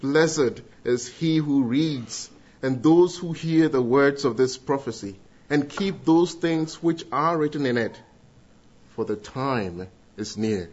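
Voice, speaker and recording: A middle-aged man from Ghana with a Ghanaian accent, giving an earnest church testimony and leading prayer, with pauses and restarts. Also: mid-range voice, darkish tone, lo-fi sound. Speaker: guest speaker giving testimony.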